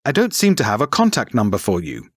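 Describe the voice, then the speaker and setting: A man playing an interviewer who fills in a form, asking brisk and businesslike questions. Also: fairly low pitch, wildly swooping pitch, husky, no echo, studio quality, full character voice. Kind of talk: scripted textbook listening dialogue.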